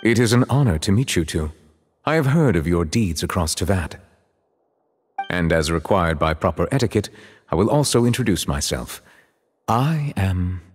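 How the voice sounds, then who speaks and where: A dignified chief justice from a video game, low-voiced and courteous. His formal, reserved phrasing is measured yet moves widely in pitch. A long reverb and faint noise surround him. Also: big pitch swings. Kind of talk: video game character acting.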